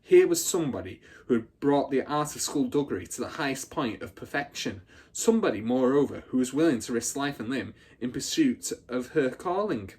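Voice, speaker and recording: A man's voice: medium pitch, expressive, a little fast, a little mellow, breathy edge. A man reading a children's story aloud expressively, as a teacher would to pupils.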